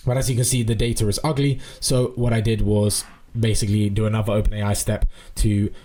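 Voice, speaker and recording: A man giving an informal, explanatory software walkthrough, thinking aloud as he presents. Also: lowish voice, level tone, fairly quick. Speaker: tutorial presenter.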